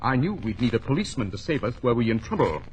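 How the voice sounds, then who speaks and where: A man playing a shrewd, smug gentleman detective, articulate and commanding, in 1940s radio acting style. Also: mid-range voice, sing-song, fast, close to an everyday voice. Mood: amused, smug. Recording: noisy vintage 1940s radio recording.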